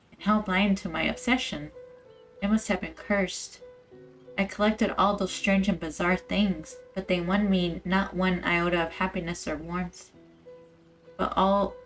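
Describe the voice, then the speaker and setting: A woman's voice: fairly low pitch, pitched below the performer's own voice, natural voice, low-quality recording. A woman voicing a wounded, remorseful man reading out his last letter from a video game, subdued and weary.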